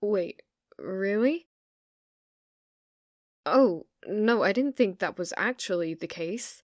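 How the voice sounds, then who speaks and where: A British woman acting in an ASMR roleplay as a sweet, shy, secretly obsessive shop clerk, soft-spoken, hesitant and apologetic. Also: medium pitch, lively intonation, takes it slowly, dry recording, clean studio sound. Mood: curious, concerned.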